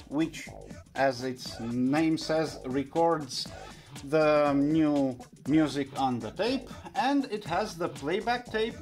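A man in his thirties who is not a native English speaker, explaining things hands-on as a video host, in a halting, hesitant way with frequent pauses. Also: fairly high pitch. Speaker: host of vintage audio videos. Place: small indoor room at home.

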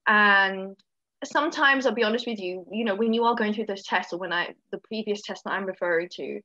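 A woman's voice: medium pitch, fairly quick, pushed and bright, no room sound. Woman, podcast co-host, frank chatty manner, many fillers, dry muffled recording. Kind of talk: casual podcast chat. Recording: dull, dry, lo-fi sound.

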